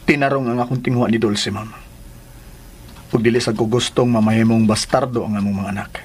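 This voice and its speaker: An actor with a Filipino accent playing an earnest, devoted young suitor in a radio drama. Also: male voice, mid-range voice, fairly even intonation, fairly quick, a little hiss or hum, close to an everyday voice. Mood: earnest, respectful.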